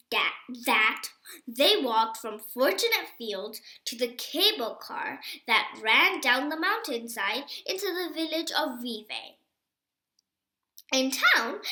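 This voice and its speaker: Female storybook reader: bright, unhurried, expressive and emphatic phrasing, echoey home recording. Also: high-pitched. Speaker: reader of a children's book. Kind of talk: reading a storybook aloud.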